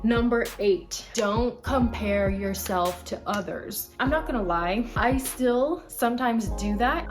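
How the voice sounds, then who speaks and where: Chatty young woman vlogging over music. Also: medium pitch. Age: 20s. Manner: chatty, emphatic, upbeat. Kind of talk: casual list vlog to camera.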